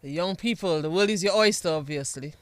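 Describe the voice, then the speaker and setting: Smooth-voiced male radio host. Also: on the high side, brisk, no echo. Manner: encouraging, reads listener comments. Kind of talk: radio talk show hosting.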